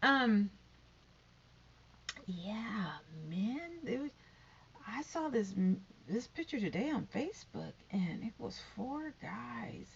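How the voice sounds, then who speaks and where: A woman's voice: on the high side, lively intonation, slow pace, vocal fry, dull audio, a little hiss or hum. A woman in her fifties vlogging in a rambling, chatty way, with sighs and whispered asides. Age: 50s. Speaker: YouTube vlogger. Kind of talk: rambling casual vlog.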